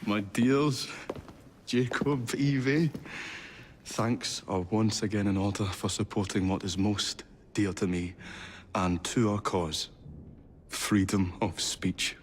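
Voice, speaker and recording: A flustered eccentric inventor. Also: male voice, lowish voice, steady tone, slow, a little hiss or hum. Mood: nervous. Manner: flustered, hesitant, full of ums and uhs. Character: nervous, eccentric young male inventor. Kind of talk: video game cutscene acting.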